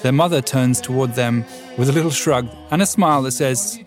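Male podcast host, even mid-pitched voice. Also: fairly quick, faint music behind. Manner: conversational, with fillers when asking questions. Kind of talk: scripted narration, then interviewing.

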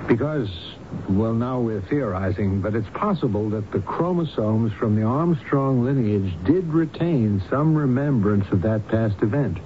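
An actor playing a calm, learned hypnotist doctor in a 1970s radio drama, speaking in a measured, explanatory way. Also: male voice, medium pitch, close to an everyday voice. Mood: earnest, explanatory. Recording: noisy, dull vintage radio broadcast.

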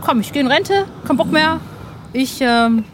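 A woman hosting a podcast, chatting in a lively, enthusiastic way with fillers. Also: high-pitched, unhurried, a little hiss or hum. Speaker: podcast host. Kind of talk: casual podcast chat. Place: rooftop terrace outdoors, construction noise nearby.

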